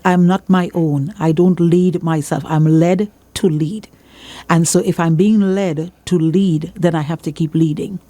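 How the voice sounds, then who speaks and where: A woman's voice: sits low, mellow, no echo. A woman with a Trinidadian accent speaking as a church leader in an interview, emphatic and repeating phrases for emphasis like a preacher giving testimony.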